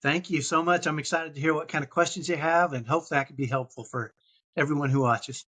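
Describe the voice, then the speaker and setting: A man's voice: a little high, fairly even intonation, fast talker, pushed and bright. A man from Texas with a slight Texan accent, a podcast guest telling his story in casual chat with many restarts and fillers.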